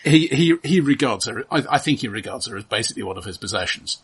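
A man co-hosting a casual film discussion, analytical and often halting, repeating words as he frames a point. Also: medium pitch, animated, a bit bright, husky. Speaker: film podcast host.

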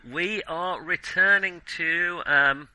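A man's voice: high-pitched, takes it slowly, bright, forceful tone, clean tone. A man hosting a podcast, chatting casually about films in a wry, self-deprecating, jokey way. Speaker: podcast host. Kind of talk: casual film discussion with playful scripted intro.

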